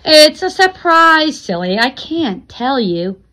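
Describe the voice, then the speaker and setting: A woman's voice: high-pitched, big pitch swings, slow, bright, forceful tone, pitched above the performer's own voice, exaggerated voice. A woman voicing a teasing, supportive young girl with a playful, chatty manner in a storybook read-aloud.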